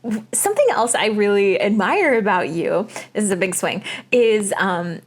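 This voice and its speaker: A woman's voice: mid-range voice, animated, normal speed. A woman with a mid-range voice hosts a casual interview. She talks in an effusive, chatty way and praises her guest. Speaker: podcast host, acting coach.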